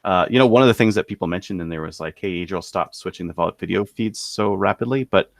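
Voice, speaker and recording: A man co-hosting a podcast, casually running through products in a halting, thinking-aloud way. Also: low voice, gravelly, dry recording, clean studio sound. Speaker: podcast co-host presenting gun deals.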